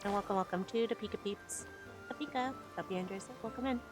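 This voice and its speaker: A woman's voice: medium pitch, fast talker, over music. A woman streaming a video game, chatting to viewers and reading the game's text aloud into a close mic.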